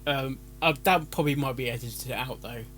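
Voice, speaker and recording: A man's voice: medium pitch, moderate pace, a bit bright, soft background music. A man chatting casually as a live-stream reactor, stumbling over his words and interrupting himself. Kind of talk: casual live-stream chat with rehearsed intros.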